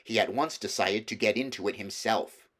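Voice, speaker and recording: A man's voice: fairly low pitch, normal speed, a bit bright. A man reading a children's novel aloud as scripted storybook narration. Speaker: audiobook reader.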